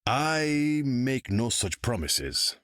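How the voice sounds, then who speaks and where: A man's voice: mid-range voice, very animated, extremely slow, fairly bright tone, no room sound, clean studio sound, exaggerated voice. An actor playing a proud, imperious undersea king in a video game, speaking in a pompous, formal way. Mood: scornful. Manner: pompous, grandiose, formal speech. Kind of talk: video game character dialogue.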